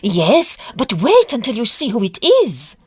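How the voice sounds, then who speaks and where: A woman playing a brisk, excitable opera singer in a period audio drama, with expressive, mannered diction. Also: a little high, breathy edge, dry recording, clean studio sound, heavily acted. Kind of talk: theatrical audio drama acting.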